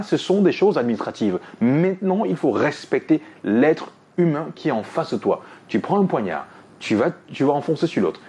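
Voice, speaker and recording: Fast-talking radio host. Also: male voice, medium pitch, darkish tone, slight background noise. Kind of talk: radio talk and presenting.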